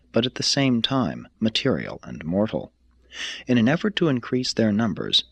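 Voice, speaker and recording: A male reader delivers formal religious narration in a measured, solemn way. His voice sits fairly low but moves widely in pitch across each phrase. It has a slightly rough, husky grain. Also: fairly bright tone, dry recording. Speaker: audiobook reader. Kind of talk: scripted narration of a religious text.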